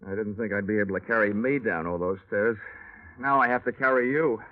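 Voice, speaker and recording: A man's voice: lowish voice, a little fast, close to an everyday voice. An American actor playing a wry, tough insurance investigator, narrating his case in a 1940s radio noir style. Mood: weary, relieved. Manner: wry, hard-boiled, wisecracking. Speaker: lead actor, detective narrator. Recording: muffled narrow-band 1940s radio, music behind.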